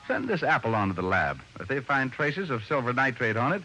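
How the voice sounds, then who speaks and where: An actor playing a determined, quick-witted private detective with dry humor and a brisk, assured manner in an old-time radio drama. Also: male voice, mid-range voice, sing-song, sharp tone, slight background noise, low-quality recording. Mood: earnest, persuasive.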